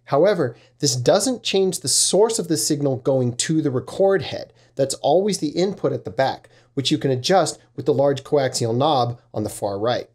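A man's voice: mid-range voice, animated, normal speed, hardly any pauses, a little mellow. A man in his thirties giving a casual, plain-spoken scripted explainer as a review host, recorded at a desk microphone in a small room. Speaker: host of a vintage audio gear review channel.

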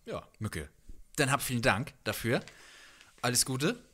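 Lively, bright-voiced man hosting casually. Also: mid-range voice, unhurried. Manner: joking, informal. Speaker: interview host. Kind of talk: casual playful interview. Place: living-room-like music room indoors. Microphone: handheld microphone.

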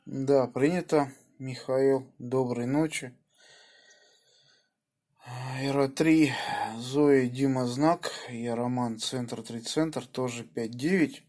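Man, slow unhurried delivery, mid-pitched, casual ham radio operator. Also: steady tone, a little mellow. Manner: spells call signs with phonetic names. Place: indoors at a home radio desk.

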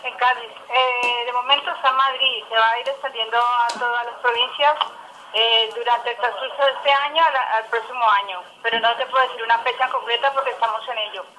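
A woman's voice: fairly high pitch, steady tone, brisk, bright, forceful tone. A polite, patient woman, working customer service on a tinny telephone line. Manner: polite, patient, informal address. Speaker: phone company customer service agent. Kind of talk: customer service phone call.

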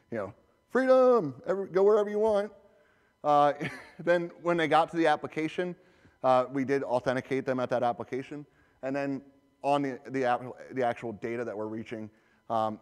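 A man gives a technical talk to a conference audience. His delivery is relaxed and conversational, full of fillers and small restarts. His voice sits mid-range, with a long reverberant tail from a large hall. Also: medium pitch. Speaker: conference presenter, security architect.

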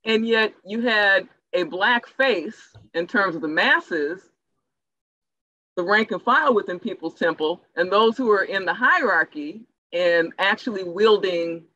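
A woman speaking in a formal, measured, academic way as a playwright in a panel discussion. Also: medium pitch, lively intonation, pushed and bright. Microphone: video call. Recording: dull, lo-fi video call audio.

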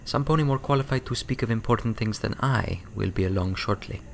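A man voicing a courteous, composed female pony rebel in a storybook, speaking in a measured, formal way. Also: fairly low pitch, vocal fry, a little hiss or hum. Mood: calm, reassuring. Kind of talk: storybook character voice.

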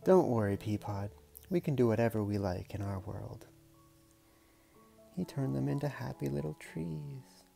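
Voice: mid-range voice, animated, a little slow, vocal fry, pauses often, warm tone, soft background music. A storyteller reading a picture book aloud in a casual, playful way, with chatty murmured asides.

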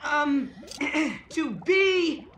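A woman's voice: high voice, extremely slow, very noisy. A woman voicing a bold, wisecracking young alien hero in a cartoon video game. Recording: roomy echo under music.

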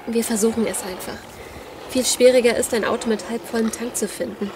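An actress plays a resourceful female survivor in a zombie audio drama. She speaks in a fairly high voice, tense but determined. Clear background ambience surrounds her. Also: fairly even intonation, fairly quick, natural voice. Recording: noisy outdoor ambience sound effects.

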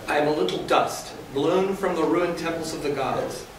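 A man's voice: a little high, moderate pace, lightly acted. A man voicing a defiant, fervent pagan priest, declaiming archaic verse in a staged dramatic reading. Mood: solemn, proud. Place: hotel conference room, indoors. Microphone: camera across the room. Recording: very echoey room, distant mic, faint noise.

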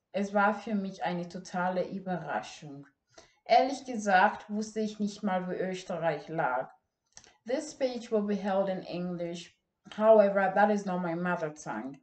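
A teenage girl from Ghana, whose first language is Ga, gives an earnest and measured school speech in a plain indoor room, recorded by a camera set some distance away. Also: mid-range voice, darkish tone. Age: teens. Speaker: student speech contestant. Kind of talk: memorized bilingual speech. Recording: echoey room.

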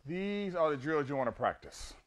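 An older man coaching judo in a large gym, explaining takedowns step by step and punctuating each move with "boom". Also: sing-song, normal speed. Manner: punchy, repeats "boom" between steps. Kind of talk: demonstrating techniques to students. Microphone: camera across the room.

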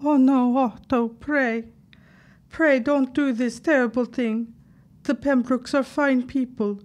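A woman acts out a devoted, frightened wife with a high voice. She pleads earnestly in formal, old-fashioned phrasing, as in a period audio drama. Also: steady tone, slow pace. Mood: imploring.

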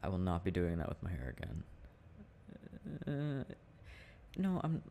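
A man's voice: sits low, wildly swooping pitch, a little slow, dark tone, a little hiss or hum. A young man in an intimate ASMR boyfriend roleplay, chatting casually and affectionately with frequent chuckles. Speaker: boyfriend roleplay audio performer.

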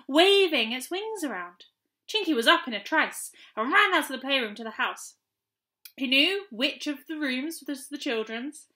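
A young woman reading a children's story aloud in an expressive storytelling style. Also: high voice. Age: young adult. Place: small room at home, indoors.

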